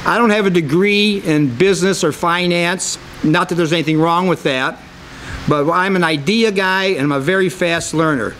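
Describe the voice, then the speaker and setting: A man's voice: high-pitched, moderate pace, fairly bright tone, a little hiss or hum. A plain-spoken man in his sixties with an American accent, giving an informal introduction as a council candidate. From Michigan, United States. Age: 60s.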